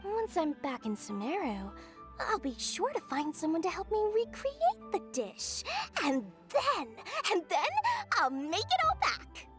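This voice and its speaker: High, swooping, exasperated young merchant. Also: female voice, sing-song, measured pace, full character voice. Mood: resigned. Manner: hesitant, sighing, theatrical. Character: thrifty, dramatic young female scholar-merchant, easily exasperated. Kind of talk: video game cutscene acting. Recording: music behind the voice.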